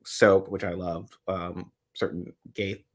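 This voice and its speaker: A man from the American South co-hosting a casual chat, thoughtful and halting, often restarting his sentences. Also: deep voice, flat delivery. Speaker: co-host.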